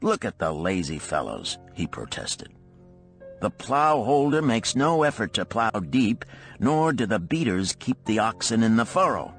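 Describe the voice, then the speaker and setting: A man's voice: fairly low pitch, big pitch swings, measured pace, fairly bright tone, faint music behind, voice pushed up, heavily acted. A man playing an earnest, hard-working enslaved farmer in an audiobook, plain-spoken and emphatic. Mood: scornful.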